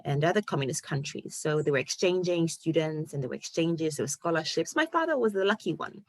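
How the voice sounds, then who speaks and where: A woman in her forties from Ghana, a guest on a video-call interview show, telling her story in a lively way and laughing often. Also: low-pitched, fairly quick, dry recording. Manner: animated storyteller, laughs often, acts out quoted voices. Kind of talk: casual interview conversation.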